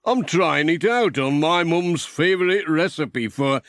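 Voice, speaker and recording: A cheerful village handyman from a children's storybook, acted by a male reader. He is eager and generous, pressing his point in a lively, swooping and unhurried voice. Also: on the high side, dry recording, clean studio sound, pitched above the performer's own voice.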